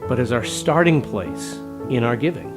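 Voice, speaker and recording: A man preaching a stewardship sermon as pastor, measured and plain-spoken, working through numbers aloud. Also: medium pitch, lively intonation. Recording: music bed underneath.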